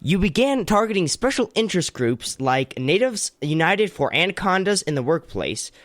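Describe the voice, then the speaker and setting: An actor playing an eager, flattering campaign staffer in a comedy radio play. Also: male voice, high voice, moderate pace, no echo. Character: eager, flattering male campaign staffer, loyal yes-man. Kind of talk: comedy radio theater acting.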